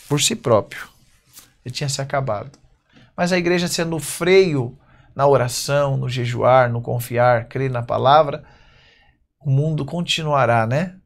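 A man in his thirties with a Brazilian accent, preaching a sermon at a church pulpit, reading verses slowly and then speaking in quick bursts. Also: medium pitch, creaky. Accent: Brazilian Portuguese. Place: church stage at a wooden pulpit.

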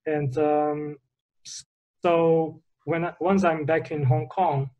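A man's voice: a little high, little pitch movement, slow, dull audio. A young man from the Netherlands, a guest on a video call from a bedroom, talking hesitantly and searching for words. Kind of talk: casual interview. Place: bedroom, indoors.

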